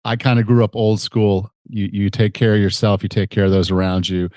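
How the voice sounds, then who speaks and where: A man's voice: lowish voice, level tone, a little fast, darkish tone, no room sound, clean studio sound. A man over forty hosting a fitness podcast, sounding a little nasal from a head cold, talking in an earnest, conversational way with fillers. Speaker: podcast host and fitness coach. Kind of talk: casual interview chat and scripted promo.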